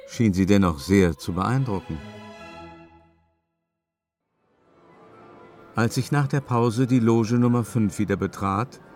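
A male actor playing an uneasy, haughty opera-goer who recounts events as a measured, suspenseful first-person radio drama narration. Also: on the low side, darkish tone. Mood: puzzled.

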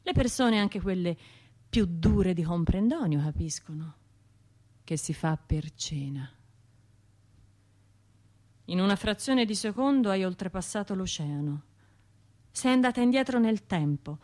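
An actress playing a wounded, bitter bombing survivor in a radio theatre monologue, confiding in colloquial speech. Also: female voice, lowish voice, lively intonation, measured pace, long pauses, a little mellow. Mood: haunted, sardonic. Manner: colloquial, confiding, wry, shifting between narration and imagined voices.

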